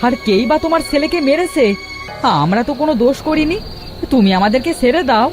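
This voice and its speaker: Cartoon big brother played by a woman: scared village boy, excitable and lively, high pitch, soft music behind. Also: fast talker. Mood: frightened, pleading. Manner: pleading, excitable. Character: frightened village boy, protective older brother.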